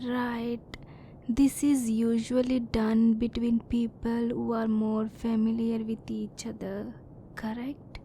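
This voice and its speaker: Slow, restrained alien woman scientist, acted by a woman. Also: a little high, warm tone, slight background noise. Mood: curious, clinical. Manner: formal, precise, measured, emotionally restrained. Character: curious, reserved female alien scientist, formal and polite. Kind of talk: ASMR roleplay.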